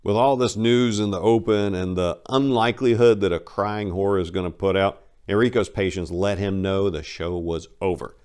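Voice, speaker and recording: A man's voice: low-pitched, normal speed, few pauses, darkish tone, smooth. A man hosting and reading a scripted story as dramatic narration, with theatrical emphasis. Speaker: host and narrator.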